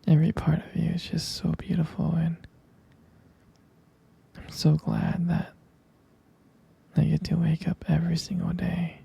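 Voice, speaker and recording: A tender vampire lover in a romantic ASMR roleplay, murmuring in a husky, very dark whisper full of gravelly fry. The delivery is intimate and adoring, with soft hesitant pauses close to the mic. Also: medium pitch, monotone. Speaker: ASMR performer playing a loving partner.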